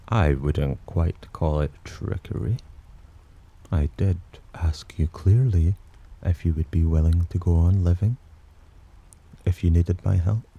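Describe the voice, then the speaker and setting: A man playing a sly, possessive demon in an ASMR roleplay, speaking in a smug, teasing, softly commanding manner with a husky voice. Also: heavily acted. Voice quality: very deep, dark, husky. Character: possessive, amused, sly male demon protector. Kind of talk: ASMR roleplay, slow intimate acting.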